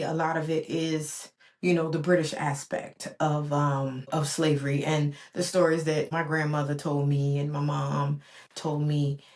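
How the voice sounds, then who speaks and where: Earnest, lower-voiced woman podcast host. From born in Barbados, raised in the US. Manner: earnest, rhythmic, with repeated emphatic phrases. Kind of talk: reflective solo podcast monologue.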